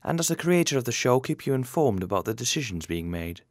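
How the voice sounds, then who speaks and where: Lively-pitched male interviewer, dry sound. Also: quick pace, no echo. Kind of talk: scripted interview questions.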